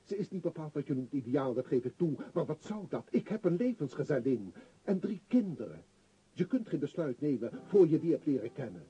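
An actor playing an earnest, self-righteous shopkeeper and family man in a radio play, with a dark, husky voice and insistent manner. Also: fairly high pitch, big pitch swings, brisk, muffled recording, exaggerated voice. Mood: earnest, confiding. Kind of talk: Dutch radio play acting.

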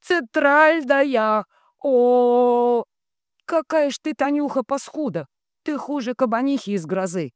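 A woman voicing a hysterical, heavy female psychic medium who wails, bellows and grumbles in drawn-out anguished calls. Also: fairly high pitch, lively intonation, smooth, no echo, studio quality, pitched above the performer's own voice. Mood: woozy, outraged.

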